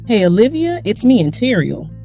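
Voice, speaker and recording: An actress playing a desperate, secretive friend who pleads insistently on a call in an audio drama. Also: female voice, a little high, big pitch swings, moderate pace, a little mellow, faint music behind. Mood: urgent. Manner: urgent, insistent.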